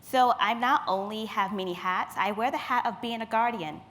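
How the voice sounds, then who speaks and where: A woman's voice: mid-range voice, normal speed, a bit bright. Young woman giving a personal presentation. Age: 20s. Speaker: youth leader presenting at a forum. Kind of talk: personal presentation with photo slides.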